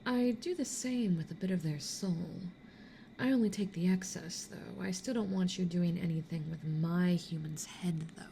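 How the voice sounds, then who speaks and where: A territorial succubus played by a woman talks in a mellow, slightly low half-whisper, cool and sure of herself. It is an intimate ASMR roleplay recorded close up with faint hiss. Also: moderate pace, vocal fry. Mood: cool, challenging. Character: territorial, self-assured female succubus with horns and tail.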